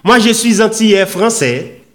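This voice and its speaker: A man preaching a sermon in an oratorical, emphatic manner, with deliberate pauses and repeated phrases. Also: sits high, expressive, a bit bright. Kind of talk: sermon with scripture readings and rhetorical questions.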